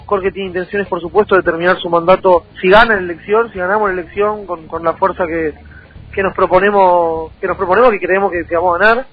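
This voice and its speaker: A man's voice: sits high, steady tone, quick pace, husky, narrow, tinny audio, faint music behind. A man in his thirties with a Rioplatense Argentine accent, answering conversationally as a political candidate in a radio interview, often repeating words as he goes.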